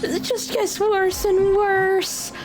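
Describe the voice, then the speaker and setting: A woman's voice: extremely high pitch, level tone, slow pace, poor audio. A woman streaming a story game, reacting and reading the game's text aloud. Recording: loud game music behind.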